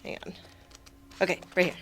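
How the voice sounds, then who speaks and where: A woman's voice: fairly quick, over music, natural voice. A woman playing an eager, playful young woman chatting informally with friends in an audio drama. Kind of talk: naturalistic audio drama acting.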